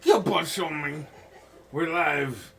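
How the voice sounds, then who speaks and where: A man's voice: fairly high pitch, slow, gravelly, a bit bright. A man hosting a podcast in casual, loose chat, swearing freely. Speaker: podcast host.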